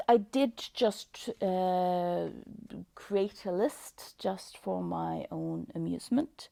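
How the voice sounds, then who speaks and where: A woman with a Norwegian accent, a native Norwegian speaker, hosting a casual book review vlog in a halting way, full of ums and uhs, with soft whispery asides. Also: lowish voice, vocal fry, dry recording. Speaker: booktube host.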